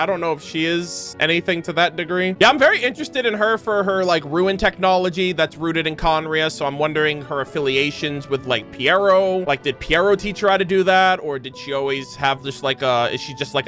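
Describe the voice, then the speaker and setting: Young male gaming reactor, forceful bright voice, slangy and rambling, music underneath. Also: a little high. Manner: slangy, swearing, animated and rambling. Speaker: gaming reaction content creator. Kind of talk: excited off-the-cuff reaction commentary.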